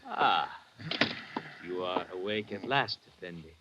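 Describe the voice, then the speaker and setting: An actor playing a deferential foreign manservant, speaking formally and attentively in 1950s radio drama style. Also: male voice, sits low, lively intonation, measured pace, very noisy. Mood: solicitous. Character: deferential male foreign servant and brother. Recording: dull vintage radio broadcast.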